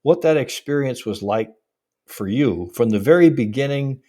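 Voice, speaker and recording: A man hosting an interview podcast, talking conversationally in a measured, deliberate manner. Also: medium pitch, animated, mellow. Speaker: podcast host, executive coach.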